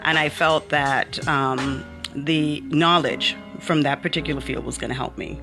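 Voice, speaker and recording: A woman's voice: deep voice, fairly even intonation, measured pace, music in the background. A woman raised in the Bahamas, an author speaking as an interview guest, earnest and reflective with frequent ums. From born in Miami, raised in the Bahamas. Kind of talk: personal interview conversation.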